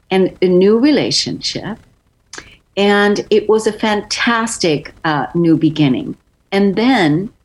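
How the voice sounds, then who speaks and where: A woman's voice: lowish voice, poor audio. A woman in her fifties telling a personal story in an effusive way, often repeating words for emphasis. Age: 50s.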